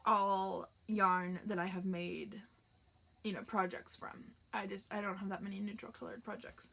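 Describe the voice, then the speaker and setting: A woman's voice: mid-range voice, pushed and bright. A young woman hosting a knitting podcast, chatting casually and rambling with lots of fillers, sounding congested and nasal. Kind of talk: casual chat to camera. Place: tiny house, indoors.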